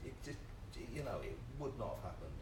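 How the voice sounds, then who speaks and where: A man's voice: fairly low pitch, big pitch swings, fairly quick. A man speaking informally on a panel, repeating words and restarting his sentences heavily as he goes. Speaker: panellist, theatre practitioner. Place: indoor theatre event space, live panel. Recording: echoey room, distant mic, clearly noisy.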